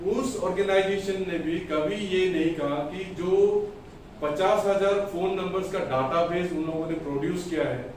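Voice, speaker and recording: A man delivers a political press statement as a party spokesperson, emphatically and deliberately in short phrases. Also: fairly high pitch. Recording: echoey room, distant mic, faint noise.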